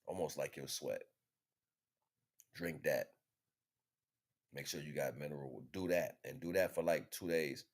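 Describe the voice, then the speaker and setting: A man's voice: rumbling low, unhurried, long pauses. A man giving a casual motivational wellness talk in an emphatic, slangy, streetwise way. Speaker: host.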